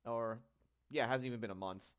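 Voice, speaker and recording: A young man sounding stuffed up as he comes down with a cold. He hosts a relaxed solo talk and rambles, filling gaps with ums and correcting himself as he goes. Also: mid-range voice, brisk, smooth. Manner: rambling, filler-heavy, self-correcting. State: congested, getting sick. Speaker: channel host. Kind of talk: casual solo talk about gadgets.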